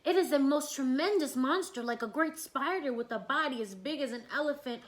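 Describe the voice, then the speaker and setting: A woman's voice: sits high, animated, a little fast, a little hiss or hum. A woman voicing a big tiger in a storybook, a respectful, anxious spokesman for the forest beasts, earnest and pleading. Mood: alarmed, pleading.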